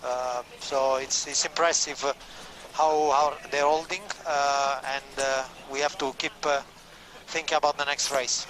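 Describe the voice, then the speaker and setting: A man, speaking haltingly in a post-race interview. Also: mid-range voice, little pitch movement. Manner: halting, many fillers. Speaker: sailing team member in interview. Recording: noisy outdoor broadcast clip.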